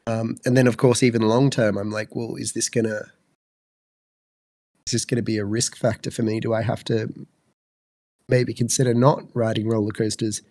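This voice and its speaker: A man's voice: on the low side, level tone, normal speed, long pauses, a little mellow, dry recording. A man in his twenties with an Australian accent, co-hosting a podcast, sounding congested and fluey, rambling with many fillers. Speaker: podcast co-host. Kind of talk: casual chat.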